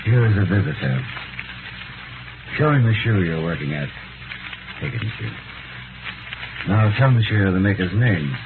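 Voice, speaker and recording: A deep-voiced, grim Parisian shopkeeper. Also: male voice, lively intonation, measured pace, long pauses. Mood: patient, coaxing. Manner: terse, brooding, emphatic. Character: grim, bitter Parisian wine-shop keeper, former servant. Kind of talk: 1930s radio acting. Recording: noisy, narrow-band 1930s radio recording.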